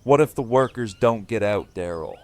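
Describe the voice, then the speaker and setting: Firm low-voiced man leading survivors, acted. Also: expressive, moderate pace, a little mellow, lightly acted. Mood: stern, insistent. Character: firm, principled male leader of survivors. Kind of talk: audio drama dialogue.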